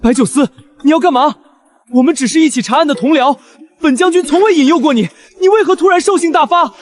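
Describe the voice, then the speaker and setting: A man's voice: very high-pitched, fast talker, dry recording. A man voicing a proud, prim, huffy chancellor who is secretly a rabbit spirit, in a historical drama. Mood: flustered indignation. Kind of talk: dubbed historical romance drama acting.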